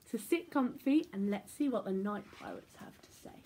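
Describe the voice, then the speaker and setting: A woman in her twenties reading a children's story aloud expressively, shifting between hushed and excited delivery. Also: mid-range voice, moderate pace, slight background noise. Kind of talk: animated picture-book read-aloud. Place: small domestic room indoors.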